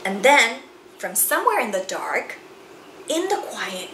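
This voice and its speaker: A woman in her forties reads a picture book aloud, her voice high, bright and lively as it rises and falls through the story. She takes each line slowly and expressively, in an echoey room with a faint hiss of noise. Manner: expressive storytelling, slow and animated. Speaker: children's book reader. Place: room with bookshelves and chalkboard, indoors.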